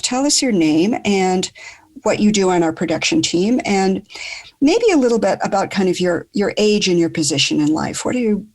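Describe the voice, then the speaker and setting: A woman in her sixties hosting a podcast and moderating a group discussion in a measured, articulate way. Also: mid-range voice, creaky, a little mellow. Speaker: podcast host.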